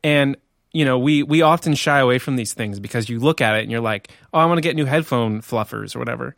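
A man's voice: mid-range voice, quick pace, creaky, a bit bright, no echo, studio quality. A man co-hosting a podcast, talking in a loose, laid-back way with casual swearing. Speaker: podcast co-host. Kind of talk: casual chat.

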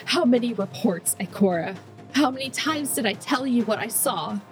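A woman's voice: fairly high pitch, expressive, normal speed, faint music behind, close to an everyday voice. A woman voicing a furious, frightened female Exo survivor who pleads in anguish, in a story read aloud.

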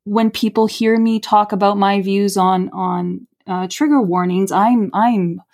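A woman's voice: mid-range voice, steady tone, measured pace, a little mellow. A woman playwright as a podcast guest, talking in an earnest, reflective way and repeating words for emphasis. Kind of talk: podcast interview.

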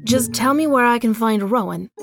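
A woman's voice: a little high, normal speed, music in the background, lightly acted. A woman playing a brash, condescending female bounty hunter in an audio drama, confident, smug and mocking.

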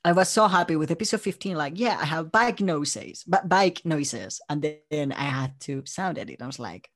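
A man from Spain, chatting casually as an interview guest and telling stories in an animated way. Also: fairly high pitch, normal speed. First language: Spanish. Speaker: interview guest, audio drama creator and voice actor.

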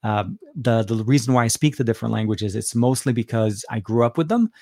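A man's voice: mid-range voice, a little fast, dark tone, no echo. A man from Lebanon, hosting a casual live stream Q&A and answering viewers with many fillers and restarts, speaking close to a studio mic in an office. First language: Arabic. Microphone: close dynamic studio mic.

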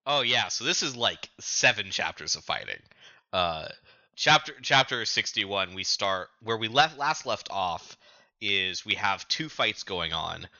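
Male podcast co-host. Deep, sharp-edged voice, stop-start casual storytelling, slightly lo-fi sound. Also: lively intonation, measured pace, no room sound. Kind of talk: casual recap of manga chapters.

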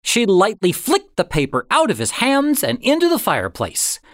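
A man narrating a story in a deliberate, dramatic way, with expressive phrasing and suspenseful pauses. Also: sits high, no room sound, clean studio sound. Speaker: audiobook narrator.

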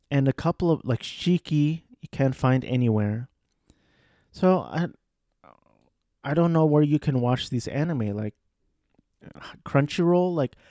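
A man's voice: medium pitch, dark tone, no echo, studio quality. A man talking casually on his own, hesitant, with many fillers and restarts. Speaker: solo commentator. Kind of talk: casual rambling chat.

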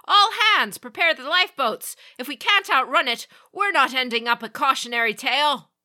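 A woman's voice: sits high, animated, normal speed, bright, forceful tone, voice pushed up, full character voice. A woman playing a scornful, barking ship captain. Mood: commanding. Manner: barking, disdainful orders. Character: gruff male ship captain, scornful and dutiful.

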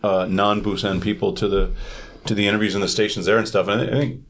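A man's voice: on the low side, little pitch movement, fast, a little hiss or hum. A man hosting a casual podcast interview, asking questions informally and thinking aloud.

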